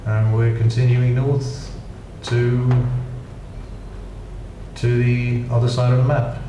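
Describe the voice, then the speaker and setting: A man's voice: medium pitch, little pitch movement, slow pace. A man with a British accent giving casual, rambling gameplay commentary with dry, self-mocking asides. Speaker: gaming channel host. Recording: echoey room, distant mic, noisy.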